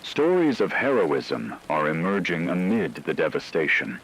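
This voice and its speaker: Very deep-voiced man, solemn radio narrator. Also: big pitch swings, measured pace, a bit bright, slightly rough, rough recording. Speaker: radio newscaster in a horror story. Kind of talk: scripted emergency radio broadcast narration.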